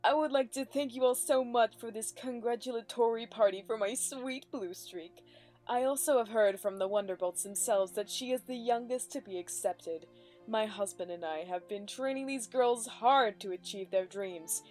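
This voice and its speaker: A woman's voice: fairly high pitch, moderate pace, darkish tone, soft background music, natural voice. A woman voicing a proud older mother mare giving a gracious, formal speech in a cartoon fan reading.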